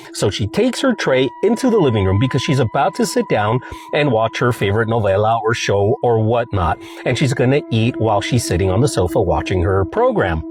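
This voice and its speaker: Nasal, congested male storyteller, mid-pitched with a very lively intonation, casual.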